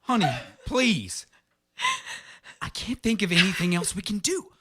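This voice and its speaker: An actor playing a weary, haunted husband in a radio drama, speaking and narrating his journal in a grave, deliberate way. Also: high voice, lively intonation, a bit bright, husky, no echo, studio quality, heavily acted. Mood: pleading.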